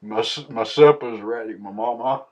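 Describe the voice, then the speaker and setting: A man's voice: medium pitch, normal speed, a little mellow. A man on a prank call, mocking and fake-apologetic, laughing between lines. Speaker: prank caller.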